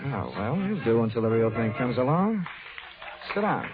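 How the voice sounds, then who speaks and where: An actor playing a wisecracking hardboiled private investigator in 1940s radio style, delivering dry, wry, clipped quips. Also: male voice, mid-range voice, sing-song, normal speed, natural voice. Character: wisecracking hardboiled male private investigator narrating his case. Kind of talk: 1940s radio detective acting. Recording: narrow, tinny 1940s radio recording with music.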